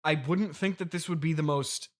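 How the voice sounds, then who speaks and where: A man playing an awkward middle-aged father and podcast host in an improvised comedy interview, halting and placating. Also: on the high side, fairly even intonation, lightly acted. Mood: awkward, formal.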